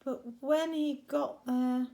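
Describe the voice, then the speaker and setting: High-pitched woman reading a children's story slowly. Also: creaky, a little hiss or hum. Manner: animated, dramatic pauses, chatty asides.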